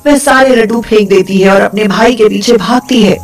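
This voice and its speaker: A woman's voice: mid-range voice, moderate pace, faint music behind. A woman narrating a scripted folk tale as a storyteller.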